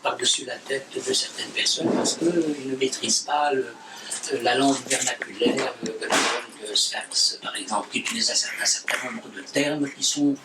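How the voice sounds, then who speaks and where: Man, interviewee, measured and hesitant, distant and echoey recording with background noise. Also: mid-range voice. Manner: deliberate, with many fillers and restarts. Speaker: interviewee explaining language. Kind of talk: measured explanation.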